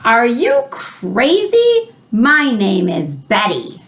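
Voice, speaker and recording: A woman voicing a furious, insulted female storybook monster with indignant, drawn-out exclamations. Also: fairly high pitch, wildly swooping pitch, a bit bright, pitched above the performer's own voice, heavily acted.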